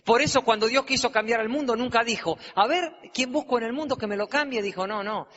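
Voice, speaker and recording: Argentine-born preacher, high bright voice, hall echo, lo-fi with some hiss. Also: male voice, fairly quick. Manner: building to loud emphatic peaks. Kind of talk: sermon. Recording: dull, reverberant hall with faint noise.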